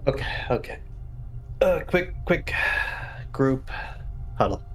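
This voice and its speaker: A man's voice: medium pitch, animated, takes it slowly, faint music behind. A man playing a tabletop roleplaying game, chatting casually and thinking aloud as he plans, somewhat hesitantly. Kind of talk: casual in-game planning chat.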